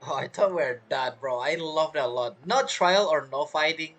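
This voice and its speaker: A woman giving casual, expressive, slangy and giggly reaction commentary as a gaming streamer over game music. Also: low voice, normal speed. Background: video game music.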